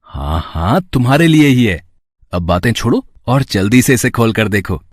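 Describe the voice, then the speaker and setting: Man acting a kindly, very expressive big brother. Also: mid-range voice, no room sound, studio quality. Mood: affectionate. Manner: drawn-out, reassuring, theatrical delivery. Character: kind, encouraging young big-brother figure, playful. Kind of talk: dramatised Hindi cartoon storytelling.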